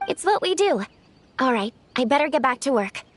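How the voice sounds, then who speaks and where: A woman voicing a small, round, polite dream-eating creature in a video game, earnest and helpful as it gently explains things. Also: high voice, big pitch swings, normal speed, a little hiss or hum. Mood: helpful, careful.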